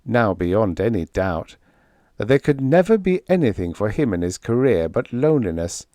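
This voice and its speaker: A man reading a scripted fiction audiobook as narrator, in a measured, deliberate storytelling manner. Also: fairly low pitch, very animated, warm tone, no room sound.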